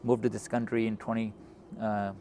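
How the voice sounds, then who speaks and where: A middle-aged man with a Pakistani accent and a fairly low voice hosts a conversational interview. He retells things in an animated way, over noticeable background noise. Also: normal speed. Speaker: interviewer, physician hosting a talk.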